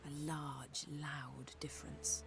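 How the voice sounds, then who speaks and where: A low, cool female voice an elegant sorceress. She drawls dry, cutting remarks. A game score runs clearly under her lines. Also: level tone, slow, full character voice. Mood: sardonic. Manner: dry, sardonic, softly cutting. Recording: game cutscene with music behind.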